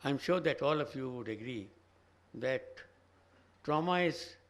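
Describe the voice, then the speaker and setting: An older man with a slightly hoarse voice, giving a measured, careful address as a senior guest at a conference. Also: mid-range voice, animated. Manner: slow, measured, choosing words carefully. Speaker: chief guest, professor emeritus of neurosurgery. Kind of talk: formal address. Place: conference hall.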